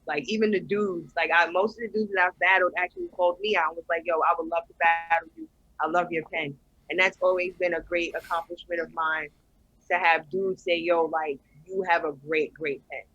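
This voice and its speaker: A soft-spoken man with a New York accent, a battle rapper talking casually as a guest in an interview. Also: on the high side, steady tone, brisk, no room sound. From United States. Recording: dull, muffled dry audio.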